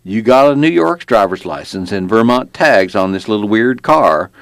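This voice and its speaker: A man voicing a belligerent, slow-witted rural cop, slurred diction and a folksy, stammering manner, in an audiobook story. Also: on the low side, animated, dry recording, pitched above the performer's own voice. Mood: awkwardly placating.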